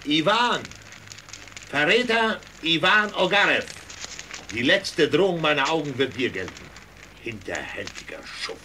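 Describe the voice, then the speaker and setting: An actor playing a proud, defiant courier hero, declaiming intensely in a radio play. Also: male voice, on the high side, lively intonation, a little slow, pushed and bright. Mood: anguished defiance. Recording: faint background noise.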